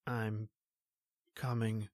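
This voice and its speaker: A woman's voice: extremely low pitch, flat delivery, extremely slow, a little mellow, no room sound, clean studio sound, full character voice. A woman playing a stoic, protective male police officer in an old-time radio horror drama, brusque and melodramatic. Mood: entranced, strained.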